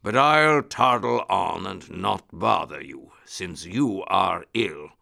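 A big, gentle circus lion from a children's storybook. He speaks very slowly in a gravelly rumble, loud but courtly and apologetic. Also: expressive, no echo, clean studio sound, exaggerated voice. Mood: apologetic, courteous.

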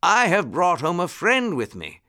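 Gentleman rabbit acted by a man: helpful, cheery storybook uncle, animated and bright. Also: mid-range voice, measured pace, gravelly, heavily acted. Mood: excited.

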